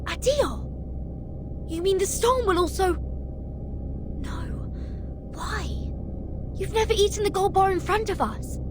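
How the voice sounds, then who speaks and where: Solemn young heroine, high voice, slow halting delivery, compassionate, dramatic game acting, music behind. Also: female voice. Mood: sad, shocked.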